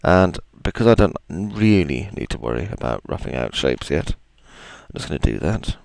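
A man with a gravelly, deep voice, chatting his way through a software tutorial with plenty of ums and asides. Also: big pitch swings, normal speed, dry recording. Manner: chatty, self-deprecating, thinks aloud with ums. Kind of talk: screen-recorded software tutorial.

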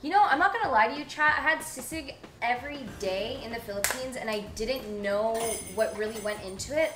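A woman's voice: a little high, recorded from a distance. A young woman hosting a casual cooking stream in her home kitchen, chatting and giggling to her viewers. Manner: chatty, giggly, fast rambling asides. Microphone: room mic from a fixed camera. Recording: echoey kitchen with music behind. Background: background music.

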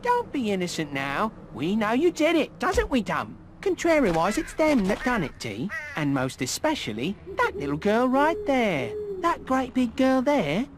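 A performer voicing the childish, contrary twin brothers in a game cutscene, with muddled grammar and singsong counting. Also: female voice, a little high, wildly swooping pitch, measured pace, few pauses, background noise. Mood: accusing.

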